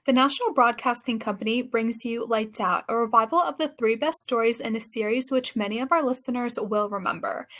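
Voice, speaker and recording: A woman's voice: a little high, a little fast, hardly any pauses, a bit bright, lightly acted. A woman announcing an old-time radio horror show in a theatrical, commanding manner.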